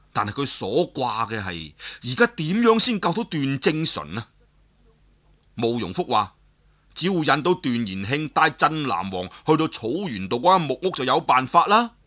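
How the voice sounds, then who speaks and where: A man's voice: mid-range voice, pushed and bright. A man with a Cantonese accent telling a story in a colloquial, explanatory way, putting rhetorical questions to listeners. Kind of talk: dramatic Cantonese storytelling narration.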